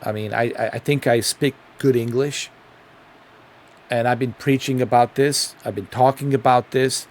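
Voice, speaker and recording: A man's voice: mid-range voice, fairly even intonation, normal speed, slight background noise. A middle-aged man from Bosnia with a Bosnian accent, rambling through a casual gaming commentary vlog with many ums and repeats. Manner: rambling, repeats himself, frequent ums and uhs. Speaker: gaming video creator.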